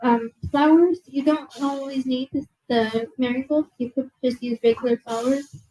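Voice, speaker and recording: A young woman giving a nervous museum presentation to an audience, reading from notes with many fillers and apologies, her soft low voice held away from a handheld mic indoors. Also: fairly even intonation, moderate pace, rough recording. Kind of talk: reading prepared talk to a live audience. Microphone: handheld mic held at a distance.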